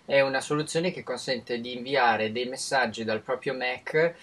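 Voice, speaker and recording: A man from Italy speaking as a technology expert in an interview. His pitch sits in an ordinary middle range, and he explains patiently and in detail, restarting words now and then as he builds his points. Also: a bit bright. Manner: measured, informative, with frequent restarts. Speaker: tech expert guest.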